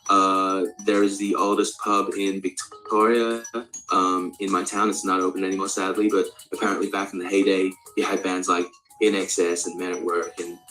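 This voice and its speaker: A male speaker of around forty from Australia, practising an American accent in a rambling, halting, candid and sweary casual monologue. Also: low voice, little pitch movement, sharp tone, faint music behind, poor audio. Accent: American, put on over native Australian. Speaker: actor introducing themself.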